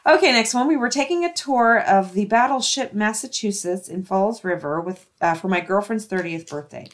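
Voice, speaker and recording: A woman's voice: medium pitch, expressive, moderate pace. Woman reading stories aloud in everyday voice. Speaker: host reading listener-submitted ghost stories aloud. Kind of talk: reading stories aloud with casual chatty asides.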